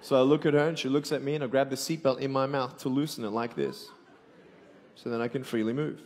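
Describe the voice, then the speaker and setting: A man's voice: mid-range voice, steady tone, fast talker. A man in his twenties with an Australian accent, giving a joking, motivational sermon to a live audience and calling for responses. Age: 20s. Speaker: evangelist speaker. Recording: long hall reverb.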